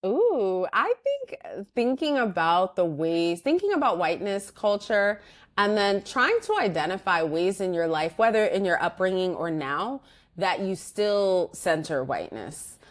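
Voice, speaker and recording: A woman's voice: medium pitch, big pitch swings, unhurried. A woman in an interview conversation, talking reflectively in loose sentences with frequent restarts and fillers. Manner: reflective, speaks in loose restarts with many "like" and "you know". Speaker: guest, organizational psychologist.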